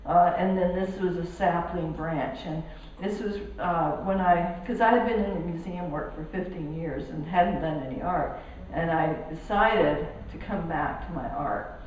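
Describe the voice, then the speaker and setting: An older woman from Colombia talks through her artwork in a gallery, pausing and restarting her sentences. Her voice sits a little low and comes from some distance off the mic. The big hall gives a strong echo over a faint background hiss. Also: a little mellow, highs cut off. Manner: halting, with many restarts and fillers. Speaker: artist giving a gallery talk. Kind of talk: casual artist talk. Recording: echoey hall, speaker off mic, faint noise.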